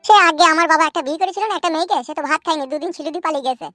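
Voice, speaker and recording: Casting: cheeky cartoon village boy, high and bright, blunt complainer, close dry sound over music. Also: rapid-fire, no room sound. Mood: aggrieved. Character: cheeky, aggrieved young village lad. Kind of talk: comic cartoon dialogue in rural dialect.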